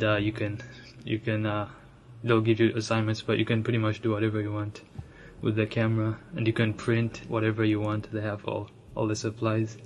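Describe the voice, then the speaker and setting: Flat-voiced young man from Ethiopia. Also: lowish voice, a little hiss or hum. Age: young adult. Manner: soft-spoken and reflective, with frequent restarts and light laughs. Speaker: interviewee sharing his immigrant story. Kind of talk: personal storytelling.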